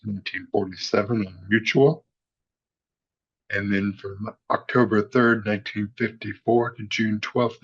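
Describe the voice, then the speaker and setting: A man hosts with scripted, factual narration. His voice is somewhat low and rough-edged, on a slightly muffled recording. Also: a bit bright. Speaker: podcast host. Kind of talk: scripted narration, reading encyclopedia text.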